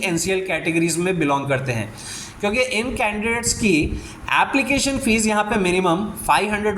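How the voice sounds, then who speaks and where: A man's voice: high voice, a bit bright. A man hosting an explainer for viewers in an instructional manner, slipping into English phrases. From India. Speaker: job news channel host. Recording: echoey room, faint background noise.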